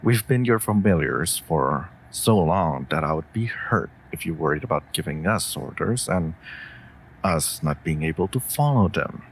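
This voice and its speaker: A man voicing a calm, loyal wolf familiar with dry humour in a fantasy audio drama, talking in a measured, wry, reassuring way. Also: rumbling low, slight background noise, exaggerated voice. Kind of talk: scripted roleplay audio drama addressed to listener.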